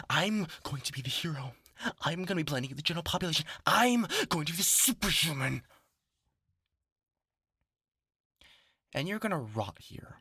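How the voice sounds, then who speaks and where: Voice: medium pitch, sharp tone, dry recording, studio quality, exaggerated voice. An actor in a horror audio roleplay voicing a bitter, grandiose scientist bent on revenge, mocking, theatrical and menacing. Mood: manic, grandiose. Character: vengeful, gloating scientist turned superhuman, bitter and grandiose.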